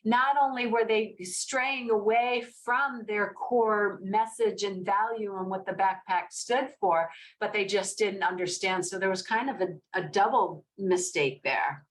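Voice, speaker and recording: A woman's voice: mid-range voice, measured pace, hardly any pauses, slight background noise, rough recording. A woman hosting a casual interview podcast, talking in a conversational way and often affirming her guest with yeahs. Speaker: podcast host.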